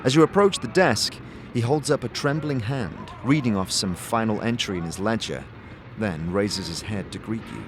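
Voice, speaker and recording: A man's voice: fairly low pitch, lively intonation, moderate pace, background noise. A man giving dramatic, scripted second-person narration for a fantasy audio drama. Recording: music behind the voice.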